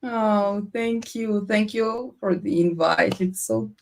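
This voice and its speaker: A woman's voice: mid-range voice, steady tone, slow pace, vocal fry, a little mellow, rough recording. A middle-aged woman from Colombia, a native Spanish speaker with a mixed Spanish and Hebrew accent, chatting as a panel guest. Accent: mixed Colombian Spanish and Israeli Hebrew. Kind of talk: casual personal storytelling.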